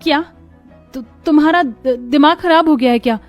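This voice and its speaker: A woman's voice: high voice, normal speed, faint music behind, pitched above the performer's own voice, natural voice. A woman voicing a sincere, modest young village woman in a cartoon family story. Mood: flustered, indignant. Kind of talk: animated family drama character voice.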